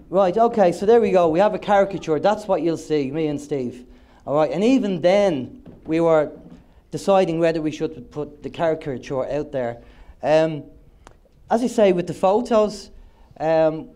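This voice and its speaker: A man's voice: on the high side, mellow. An Irish man, a co-host of an internet radio show, presenting on stage at a conference in a chatty, joking way, a little nasal from a cold. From Ireland. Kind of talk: presenting on stage to a live audience.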